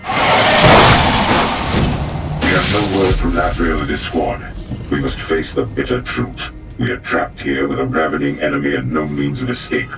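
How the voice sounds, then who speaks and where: A grim armoured warrior, his voice extremely deep and rough with gravel. He speaks in solemn, archaic commands for a sci-fi game, set back from the mic under loud music. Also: moderate pace, pauses often, distant mic. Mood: grim resolve. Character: grave armoured male space marine warrior, grim and stoic. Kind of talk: video game dramatic acting.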